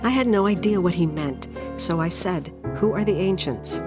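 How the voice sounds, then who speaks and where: An actress playing a gentle, protective middle-aged adoptive mother in a video game, recounting her past in a measured, reflective way. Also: fairly low pitch, over loud music, close to an everyday voice. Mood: subdued. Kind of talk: dramatic game cutscene narration of memories.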